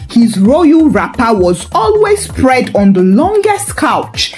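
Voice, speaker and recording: A woman's voice: high voice, sing-song, slow, a little mellow, soft background music. A woman narrating a scripted story with wry, dramatic emphasis.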